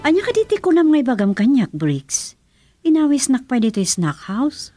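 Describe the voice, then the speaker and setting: A woman's voice: high voice, sing-song, moderate pace, music in the background. A woman acting a resolute, bitter wronged wife in an Ilocano radio drama. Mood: suspicious.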